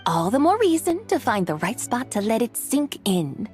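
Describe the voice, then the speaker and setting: A woman's voice: a little high, big pitch swings, normal speed, a little mellow, faint music behind. A woman playing a regal, curious immortal visitor in a video game, speaking in a formal, archaic and stately manner. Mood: composed.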